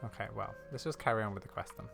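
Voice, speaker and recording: A woman's voice: very deep voice, racing, creaky, music in the background. A woman giving casual let's play commentary, musing and thinking aloud as she plays. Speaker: gamer commentating on a playthrough.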